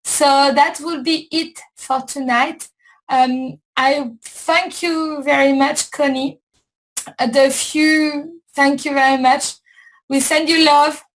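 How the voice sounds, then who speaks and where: A woman hosting a casual show, talking slowly and repeating herself as she searches for words. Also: high-pitched, low-quality recording. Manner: slow, repetitive, searching for words. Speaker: host. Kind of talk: casual chat.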